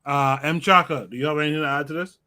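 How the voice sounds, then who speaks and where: A man hosting a podcast livestream, reading out viewer comments and calling on guests in a relaxed way. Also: fairly high pitch, fast talker. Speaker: podcast host. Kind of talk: moderating a livestream discussion.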